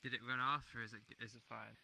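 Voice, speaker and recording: A man's voice: mid-range voice, little pitch movement, fast, gravelly, sharp tone. A man chatting casually in character in online roleplay, heard on a very noisy voice chat.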